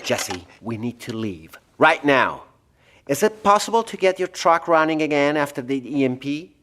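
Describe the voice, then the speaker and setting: A man's voice: fairly high pitch, very animated, moderate pace, a bit bright, a little hiss or hum. A man playing an anxious, self-justifying scientist with precise, formal diction in an audio drama. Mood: urgent, fearful. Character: blinded, guilt-free scientist doctor, anxious and urgent.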